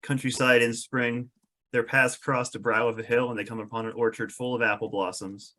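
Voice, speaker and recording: Male narrator, average pitch, reading stage directions for a script table read. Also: level tone, normal speed, bright, forceful tone.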